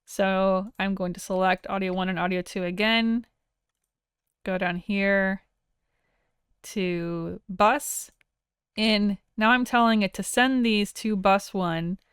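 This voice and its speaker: A woman narrating an informal software tutorial in a plain-spoken, explanatory way. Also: mid-range voice, fairly even intonation, slow, clear, resonant tone, no echo, clean studio sound. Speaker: audio software instructor.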